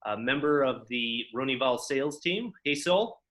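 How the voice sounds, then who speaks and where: A man hosting an interview, friendly and relaxed, with a few fillers. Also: mid-range voice, normal speed. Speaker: interview host. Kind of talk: conversational interview.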